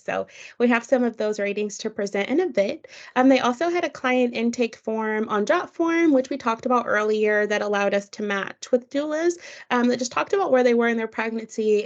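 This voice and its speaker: A woman presenting evaluation findings on a webinar, talking casually with lots of fillers. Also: fairly high pitch. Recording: dull lo-fi call audio.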